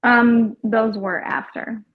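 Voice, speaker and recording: Woman on the stand, unhurried pace, short formal replies, dull low-fidelity recording. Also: steady tone. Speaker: witness testifying. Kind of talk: brief formal testimony answers.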